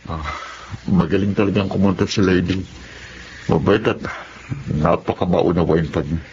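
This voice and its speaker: Man acting remorseful boyfriend, lowish muffled voice. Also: fairly even intonation, normal speed, a little mellow, slight background noise, close to an everyday voice. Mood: grateful, earnest. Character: remorseful businessman boyfriend sick with covid. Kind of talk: Filipino radio drama acting.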